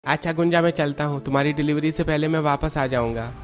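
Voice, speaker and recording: A man's voice: on the high side, quick pace, soft background music, close to an everyday voice. A man playing a hard-up, testy but caring village labourer in a cartoon story, earnest and defensive. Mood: gentle.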